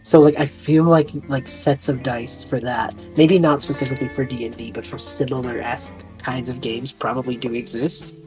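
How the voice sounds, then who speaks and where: Low-pitched woman playing a tabletop game. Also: steady tone, moderate pace, few pauses, a little mellow, faint music behind, poor audio. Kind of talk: casual roleplay chat, describing a character's actions.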